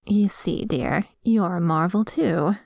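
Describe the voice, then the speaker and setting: A woman playing a dominant, possessive female vampire in an ASMR roleplay, talking in a coy, deliberate and quietly menacing way. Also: on the low side, vocal fry, dry recording.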